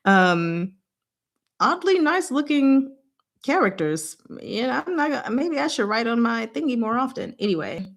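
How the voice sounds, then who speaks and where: A woman's voice: fairly high pitch, very animated, unhurried. A woman hosting a casual book discussion, explaining things informally with playful, rambling asides.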